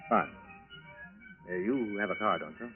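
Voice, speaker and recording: An actor playing a polite, cold-blooded American fugitive killer in a 1940s radio drama. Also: male voice, lowish voice, a little slow, lightly acted. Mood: smooth, polite. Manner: polite, self-possessed, menacing. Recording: thin phone-like 1940s radio recording, music behind.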